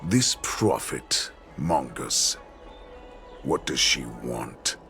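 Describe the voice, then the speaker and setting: An actor playing an ancient wolfish warlord in a video game, menacing, contemptuous and commanding, speaking in an imperious growl. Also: male voice, big pitch swings, fairly bright tone, faint music behind, full character voice. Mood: probing. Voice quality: very deep gravelly growl. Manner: slow, imperious, mocking. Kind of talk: dramatic video game voice acting.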